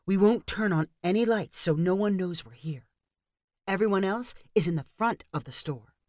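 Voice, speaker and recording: Woman doing a brisk secretive young woman. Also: mid-range voice, animated, darkish tone, no echo, clean studio sound, close to an everyday voice. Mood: hushed.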